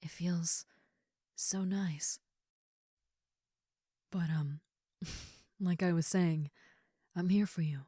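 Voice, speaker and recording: A woman playing a gentle, shy young ogre roommate in an intimate comfort roleplay, talking softly, casually and a little hesitantly to the listener. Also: lowish voice, steady tone, drawn-out words, pauses often, husky, no echo, clean studio sound, heavily acted. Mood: tender, soothing. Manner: soft, hesitant, casual, reassuring.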